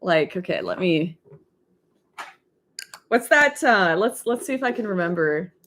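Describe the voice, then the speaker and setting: Young woman w a deep voice, rambly livestream chat, laughs a lot. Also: expressive, measured pace, background noise. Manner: chatty, rambling, laughs often. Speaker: livestream host reading chat.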